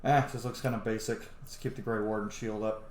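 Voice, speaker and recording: A man's voice: fairly low pitch, fairly even intonation, fairly quick, a little mellow. A man giving casual, profanity-laced commentary while playing a video game. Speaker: gaming video host. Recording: roomy echo, clear background noise.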